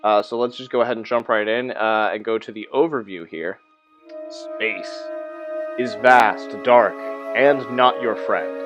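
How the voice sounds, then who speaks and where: A man's voice: fairly low pitch, fairly even intonation, measured pace, dull audio, music in the background. A man hosting a tabletop gaming channel, presenting to viewers in a playfully theatrical way. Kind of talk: casual presentation to viewers, with some read-out game text.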